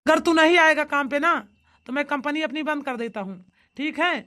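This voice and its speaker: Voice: high voice, normal speed, bright, forceful tone. A performer voicing an anxious company boss in a cartoon comedy, speaking in an emphatic, pleading manner. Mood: apologetic.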